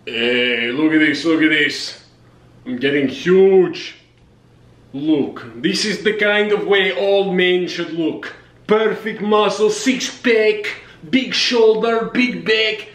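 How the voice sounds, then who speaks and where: A man's voice: sits high, slow pace, fairly bright tone. A man playing a vain, boastful Russian bodybuilder in a comic monologue, with a Russian accent. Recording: roomy kitchen, distant camera mic.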